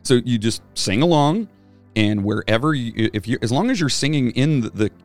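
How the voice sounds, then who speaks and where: A man's voice: medium pitch, expressive, normal speed, soft background music. A man co-hosting a casual podcast chat, a former band singer who rambles with plenty of fillers and restarts. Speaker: podcast co-host.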